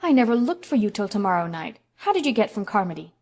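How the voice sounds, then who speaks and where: Narrator voicing a reserved, brisk woman of sixty, dry and plain. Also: medium pitch, very animated, a little mellow. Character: stern reserved woman in her sixties.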